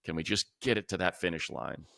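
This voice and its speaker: A man's voice: low voice, expressive, a little fast, creaky, bright, forceful tone, dry recording, clean studio sound. A man hosting a sports talk show, casually analysing in an emphatic, conversational way and repeating points for stress.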